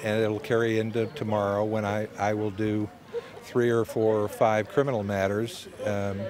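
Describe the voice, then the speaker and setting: A man who serves as a judge talks in a thoughtful, plain-spoken way. His voice sits on the low side, with a clear crowd murmur behind him. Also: flat delivery, moderate pace. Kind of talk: reflective interview.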